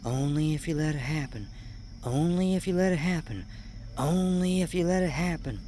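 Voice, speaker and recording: A man voicing an anxious but determined preteen hillbilly girl in an audio horror story, with deliberate, haunted delivery. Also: fairly high pitch, warm tone, slight background noise, pitched above the performer's own voice, close to an everyday voice. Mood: chanting, insistent.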